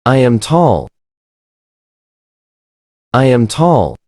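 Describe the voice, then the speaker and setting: Very slow, careful pronunciation model. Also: mid-range voice, sing-song, a little mellow, dry recording. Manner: says each short phrase twice, carefully. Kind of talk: slow phrase drills.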